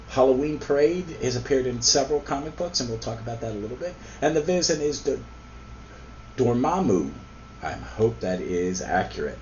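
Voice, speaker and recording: A man hosts a relaxed comic book chat, his voice a little hoarse from a cold. He wanders through his points with plenty of ums and restarts. The recording is a bit dull and lo-fi, with a faint hiss underneath. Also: medium pitch. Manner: rambling, with frequent ums and restarts. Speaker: podcast host. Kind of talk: casual comic book review.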